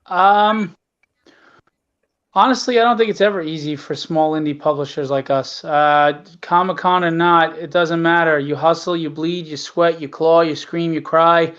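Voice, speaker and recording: A man's voice: on the high side, fairly even intonation, normal speed, fairly bright tone, clear, resonant tone, highs cut off. A middle-aged man talking casually and bluntly as an interview guest, earnest and emphatic about his work as an indie publisher. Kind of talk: casual conversational talk.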